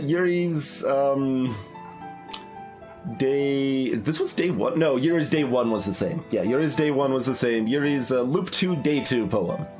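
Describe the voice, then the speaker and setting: A man's voice: mid-range voice, a little mellow. A man giving casual, analytical game commentary, hesitating and correcting himself as he thinks aloud. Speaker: streamer playing through a game.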